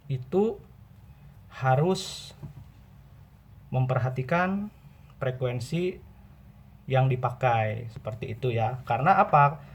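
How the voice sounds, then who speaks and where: A man hosting an informal tutorial, explaining step by step with pauses and repetition. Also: mid-range voice. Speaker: video host and radio seller. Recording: dull, muffled lo-fi audio.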